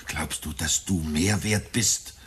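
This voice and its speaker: A man's voice: rumbling low, slow. A man in a German radio drama playing a troubled middle-aged father of a criminal, speaking in a grave, probing, reproachful way.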